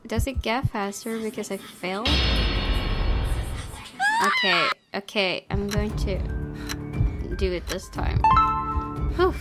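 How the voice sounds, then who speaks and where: A woman's voice: medium pitch, sing-song, takes it slowly, long pauses. A woman commentating on a horror game in a reactive, giggly way, switching between reading story text aloud and exclaiming. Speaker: gaming video host playing a horror visual novel. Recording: loud music behind the voice. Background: horror game music.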